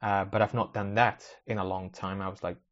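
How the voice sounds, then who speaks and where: A man's voice: lowish voice, flat delivery, brisk, fairly bright tone. A man whose first language is Spanish, hosting a casual solo podcast, thinking aloud in a rambling, wry way that often breaks off mid-sentence. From Spanish-speaking country, moved to the UK at age ten. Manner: rambling, self-interrupting, wry. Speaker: podcast host. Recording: slightly dull, lo-fi recording.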